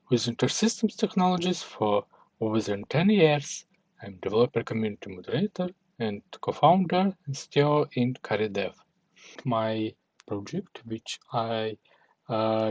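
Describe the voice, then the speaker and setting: A male developer presenting his project in a halting way with frequent fillers, an English speaker. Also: lowish voice, a little mellow, low-quality recording. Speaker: developer and community moderator. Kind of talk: recorded demo presentation.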